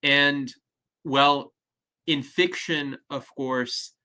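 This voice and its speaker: A man hosting an online discussion over a video call, explaining ideas in a measured, articulate way. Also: medium pitch, fairly even intonation, sharp tone. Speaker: host.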